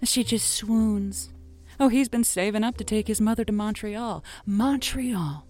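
A woman's voice: mid-range voice, unhurried, darkish tone, faint music behind, close to an everyday voice. A woman playing a wry, theatrical host of a lonely-hearts radio show in an audio drama, telling stories playfully. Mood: exasperated, animated. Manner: playful, wry, storytelling delivery. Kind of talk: semi-improvised audio drama monologue.

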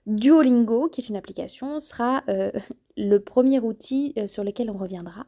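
A woman's voice: fairly high pitch, normal speed. A woman in her early thirties hosting her podcast, speaking straight to the listener and sometimes correcting herself mid-sentence. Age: 30s. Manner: warm, addresses listener directly, self-correcting. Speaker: podcast host. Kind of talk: solo podcast trailer monologue.

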